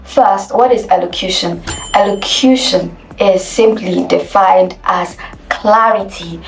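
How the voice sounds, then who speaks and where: A Nigerian woman with a Nigerian accent, teaching as an elocution coach, speaking deliberately and repeating key phrases for emphasis. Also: medium pitch, echoey room, distant mic, poor audio. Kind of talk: instructional video talk.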